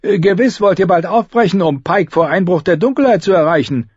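A man's voice: high voice, lively intonation, a little fast, a little mellow, slightly rough, dry recording, dull audio, pitched above the performer's own voice. A man voicing a hesitant, cheeky village innkeeper in an audiobook. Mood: casually servile. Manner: hesitant, starts with "äh". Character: insolent, hesitant village innkeeper offering a horse. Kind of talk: audiobook character voice.

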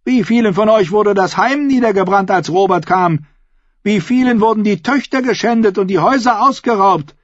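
A man's voice: sits high, normal speed, no echo, dull audio, pitched above the performer's own voice. A man voicing a bold, defiant young noblewoman in a dramatic audiobook, with a declamatory, challenging delivery. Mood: scornful, defiant.

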